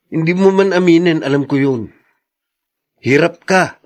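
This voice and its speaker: A man's voice: fairly high pitch, unhurried, dry recording. A man playing a caring, protective elder brother in a Tagalog radio drama, speaking with earnest concern.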